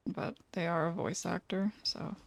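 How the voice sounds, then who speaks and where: A woman giving cozy, quiet gameplay commentary in a casual, reactive, muttering way. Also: fairly low pitch, steady tone, moderate pace, gravelly, slight background noise. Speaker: gaming video creator. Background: game music.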